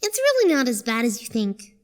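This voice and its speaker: A woman's voice: a little high, huge pitch swings, a little fast, noisy background. A woman voicing a kind human child who narrates politely and sincerely in a cartoon fandub. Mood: matter-of-fact. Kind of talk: first-person fandub narration.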